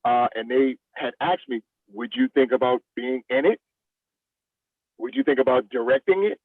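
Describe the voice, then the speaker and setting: A man's voice: mid-range voice, normal speed, no room sound. Man on a thin phone-like line. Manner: enthusiastic, repeats phrases for emphasis. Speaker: stage play director and actor. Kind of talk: casual interview talk. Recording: thin phone-like call audio.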